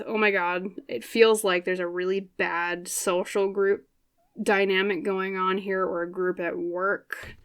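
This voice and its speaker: Young woman, lowish voice, casual tarot chat, thinks out loud, slight scratch in the throat. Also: smooth. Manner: chatty, thinks aloud with many fillers and quick laughs. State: voice getting scratchy. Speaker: tarot reader. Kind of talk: casual pick-a-card tarot reading.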